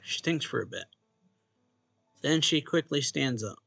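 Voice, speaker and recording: A man's voice: medium pitch, lively intonation, measured pace, gravelly, a bit bright, slightly rough, no echo. A man reading a visual novel's narration and dialogue aloud expressively, as a let's play host. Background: game music.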